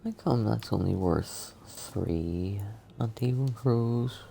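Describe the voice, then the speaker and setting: A man's voice: lowish voice, takes it slowly, gravelly, mellow, a little hiss or hum. A middle-aged man giving casual, grumbling, sweary commentary while playing a video game. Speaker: gaming streamer.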